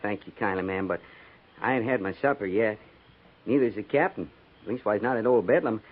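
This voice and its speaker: A man's voice: lowish voice, a little fast, lightly acted. Folksy old-West sergeant, played by a man, on tinny radio. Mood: polite, reassuring. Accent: American, rustic Western. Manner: folksy, rustic, deferential. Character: folksy, loyal, plain-spoken army sergeant of the Old West. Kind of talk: 1950s radio drama acting. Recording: tinny, hissy 1950s radio recording.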